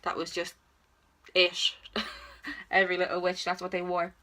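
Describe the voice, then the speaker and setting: A woman's voice: lowish voice, completely flat delivery, normal speed, pushed and bright. Chatty young Irish woman vlogging. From Ireland. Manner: chatty, informal, self-deprecating, exclamatory. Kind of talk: casual chatty question-and-answer vlog. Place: indoors at home, sitting on the floor.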